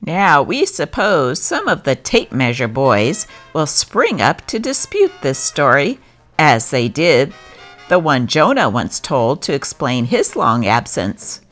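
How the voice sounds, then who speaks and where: A woman's voice: deep voice, very animated, slow, a bit bright, faint music behind. A woman narrator giving a scripted reading of old newspaper stories.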